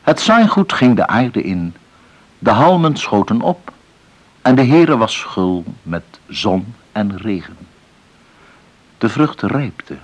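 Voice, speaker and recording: An actor narrating a rural radio play as a solemn, poetic storyteller in a measured, husky voice. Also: male voice, medium pitch, exaggerated voice, rough recording.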